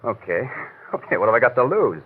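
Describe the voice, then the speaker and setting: An actor playing a flippant, touchy, sarcastic suspect in an old-time radio mystery. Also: male voice, mid-range voice, very animated, fairly quick, breathy edge. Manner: sarcastic, slangy. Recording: tinny vintage radio recording.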